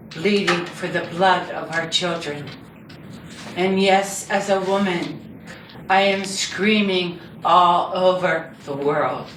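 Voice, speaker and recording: A woman reading her own poetry aloud live, with measured, deliberate and dramatic phrasing. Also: on the low side. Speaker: poet reading own work. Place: indoor reading before a group. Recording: roomy, distant mic with background noise.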